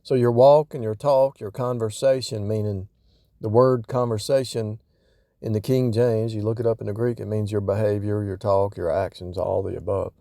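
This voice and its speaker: A man's voice: lowish voice, steady tone, moderate pace, vocal fry, warm tone, dry recording, studio quality. A man giving casual, off-the-cuff spiritual teaching in a rambling way, repeating and rephrasing himself for emphasis. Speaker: religious vlogger.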